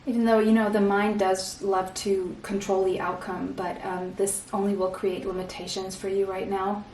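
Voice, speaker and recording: A woman from Portugal leads a tarot reading in a soft, reassuring manner, checking in with listeners and clearing an irritated throat now and then. Her voice sits a little off the microphone in an echoey room, with a faint hum underneath. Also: mid-range voice, level tone, normal speed, far from the mic. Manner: soft, reassuring, often ends points with "okay?". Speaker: tarot reader. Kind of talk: pick-a-card tarot reading.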